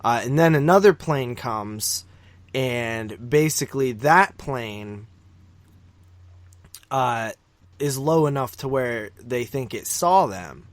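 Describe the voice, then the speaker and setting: A man's voice: mid-range voice, lively intonation, slow pace, dry recording. A man co-hosting a podcast, casually recapping a film in a rambling, informal way with some swearing. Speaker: podcast co-host.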